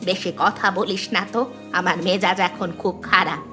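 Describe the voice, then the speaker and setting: A woman's voice: fairly low pitch, steady tone, normal speed, music in the background, close to an everyday voice. A woman voicing a caring but stubborn, easily irritated old grandmother in a Bangla cartoon. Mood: irritable.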